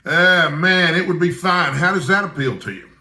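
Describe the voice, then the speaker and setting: A man's voice: on the high side, normal speed, pushed and bright, roomy sound, voice pushed up. A man voicing a kindly, shrewd older Scottish news editor from a storybook, with a wry, expansive manner. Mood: wry, musing.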